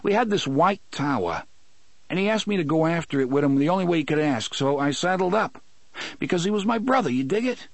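A male narrator puts on a young man close to tears, his voice pushed high and quick with bitter, pleading anger. The delivery swings widely in pitch and sounds dry and close to the mic. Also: expressive, dry recording. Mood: grieving. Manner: pleading, rapid, raised and strained. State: crying. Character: young man, desperate, angry, tearful.

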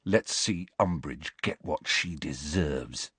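A laid-back teenage boy chats in a casual, sympathetic way, a man doing the voice. The voice stays near the performer's own, low-key and a little husky. It is recorded close and dry. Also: moderate pace, a bit bright, no echo. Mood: gleeful whisper.

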